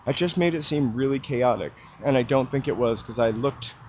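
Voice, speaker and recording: A man's voice: medium pitch, moderate pace, a little mellow, slight background noise. A man chatting casually to live viewers while walking outdoors on a city street, rambling with lots of fillers, his voice a little froggy with a slight dry cough. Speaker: livestream walking host.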